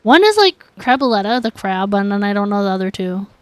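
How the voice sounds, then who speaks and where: A woman's voice: mid-range voice, sing-song, fairly quick, clear, resonant tone. A woman streaming a game, chatting casually with her viewers in a filler-heavy way. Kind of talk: casual livestream chat while gaming.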